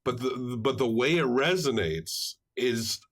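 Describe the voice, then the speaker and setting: A man's voice: mid-range voice, lively intonation, measured pace. A man co-hosting a podcast, talking through a film in a casual, explanatory, conversational way. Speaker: podcast co-host.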